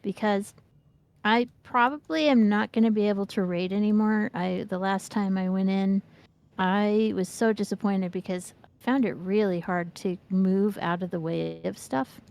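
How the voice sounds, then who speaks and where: A woman's voice: mid-range voice, normal speed, creaky, darkish tone. A woman in her fifties chatting casually and candidly about gaming as a streamer. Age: 50s. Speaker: game streamer, co-host.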